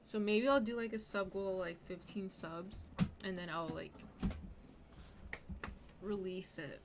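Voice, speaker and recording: A young woman streaming, chatting casually in a scratchy, slightly hoarse voice with lots of fillers. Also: medium pitch, fairly even intonation, slow pace. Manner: rambling, many fillers. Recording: music playing underneath.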